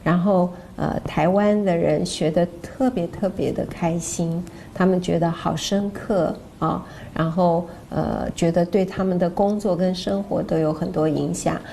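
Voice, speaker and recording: Mellow, low-pitched woman. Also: fairly even intonation, a little slow, creaky, hardly any pauses, slight background noise. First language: Mandarin Chinese. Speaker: course co-presenter and interpreter. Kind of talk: informal explanation to camera.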